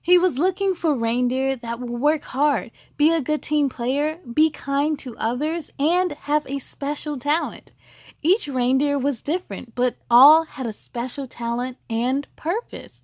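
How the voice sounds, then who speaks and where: A woman's voice: high voice, a little slow, dry recording, studio quality. A woman hosting story time, reading a children's storybook expressively and talking straight to her young listeners. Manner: expressive, addresses young viewers directly. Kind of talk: children's storybook read-aloud.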